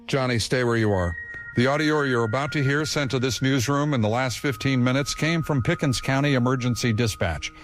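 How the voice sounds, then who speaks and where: A man's voice: mid-range voice, a little fast, close to an everyday voice. Man playing a grave news anchor over music. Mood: grave, urgent. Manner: serious, formal. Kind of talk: scripted breaking-news broadcast in horror audio drama.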